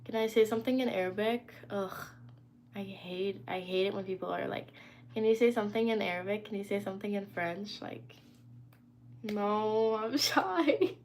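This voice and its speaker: A woman's voice: mid-range voice, normal speed, a little mellow, a little hiss or hum. Chatty young woman livestreaming from her phone. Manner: chatty, reads and answers comments. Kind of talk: casual live stream chat. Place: indoors at home.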